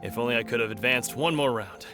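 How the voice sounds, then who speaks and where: A man's voice: mid-range voice, quick pace, over loud music, lightly acted. An actor playing a big, courtly male fighter who speaks in a formal, polite, slightly theatrical way. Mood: courteous.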